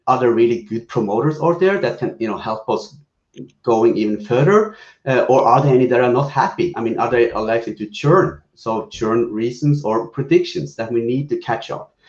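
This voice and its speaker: A man answering a panel question in a relaxed, hesitant way with many fillers and restarts. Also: mid-range voice, moderate pace. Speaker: business guest speaker. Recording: roomy muffled video call audio.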